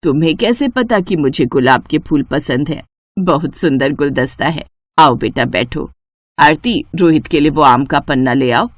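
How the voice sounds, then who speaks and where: A woman voicing a kindly, concerned older mother-in-law in a family story, gentle and motherly. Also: low voice, expressive, moderate pace, no echo, studio quality, voice pushed down. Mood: affectionate, welcoming. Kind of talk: Hindi family storybook dialogue.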